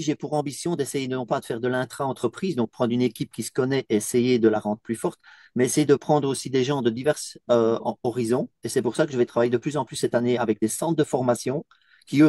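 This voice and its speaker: A man's voice: mid-range voice, a little fast, dark tone, dry recording, clean studio sound. A man from Belgium who runs a team coaching business, speaking in an interview testimonial.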